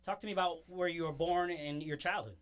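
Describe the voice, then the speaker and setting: A man's voice: on the high side, steady tone, brisk, a bit bright. A man from New York hosting an interview, asking friendly, encouraging questions in a conversational way. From born in New York, grew up in Western New York. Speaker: interview host.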